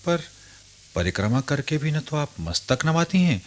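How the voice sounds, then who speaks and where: Expressive, earnest grandson. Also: male voice, on the high side. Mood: curious, earnest. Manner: earnest, deliberate, dramatic. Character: respectful, curious grown grandson who narrates his memories. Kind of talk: Hindi radio drama acting and narration.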